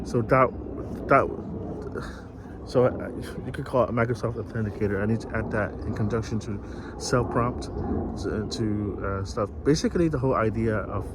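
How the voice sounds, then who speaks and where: Clear outdoor noise surrounds a man from Vietnam with a fairly low voice. He talks off the cuff, as if to a camera, in a halting flow. Phrases often break off and get repeated as he explains things. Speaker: vlogger and software developer. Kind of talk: informal unscripted monologue to camera. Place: outdoors, city view behind.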